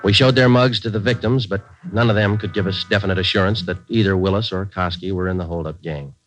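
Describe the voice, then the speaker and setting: An American actor playing a stoic police detective sergeant in a 1940s radio crime drama, speaking in terse, matter-of-fact, deadpan phrases. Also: male voice, deep voice, close to an everyday voice. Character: stoic, clipped police detective sergeant, narrating his cases. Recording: muffled old 1940s radio recording.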